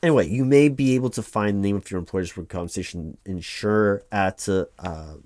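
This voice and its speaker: A man's voice: on the low side, a little fast, darkish tone, dry recording, natural voice. A man playing a reassuring, rambling office helper who talks through paperwork in a spoken-aloud ASMR roleplay. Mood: official, instructive. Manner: rambling, hesitant asides with stammered repeats, reassuring. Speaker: roleplay performer playing a workers' compensation claims helper. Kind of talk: loud-spoken ASMR roleplay, reading forms aloud with ad-libbed asides.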